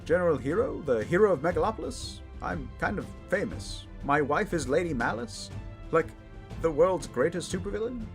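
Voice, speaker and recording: Guy doing a superhero for a comedy audio roleplay, kinda high raspy voice, bouncy intonation, cocky but well meaning, rambles casually. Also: animated, normal speed, soft background music. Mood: boastful, casual. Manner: heroic bluster sliding into casual, earnest rambling. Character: famous male superhero, self-assured, chatty, well-meaning but blundering.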